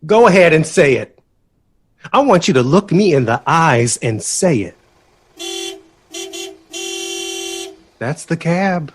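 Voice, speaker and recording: An excitable, theatrical young man from a radio play. His speech is slow and savored, leaping widely in pitch. He is gushing and pointed, and easily wounded. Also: a little hiss or hum. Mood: bitter, confronting. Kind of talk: audio drama acting.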